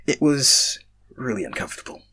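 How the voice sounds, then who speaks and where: A man's voice: mid-range voice, lively intonation, moderate pace, pushed and bright, husky. A man reading stories aloud as an amateur host, informal, sometimes stumbling over his words. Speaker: fan fiction reader, channel host. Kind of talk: amateur story narration and casual sign-off.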